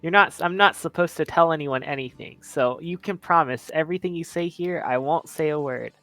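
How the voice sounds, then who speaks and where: A man's voice: on the high side, brisk, sharp tone, no room sound. A man voicing a kind, eager teenage boy in a tabletop game, earnest, polite and a little rambling. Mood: reassuring.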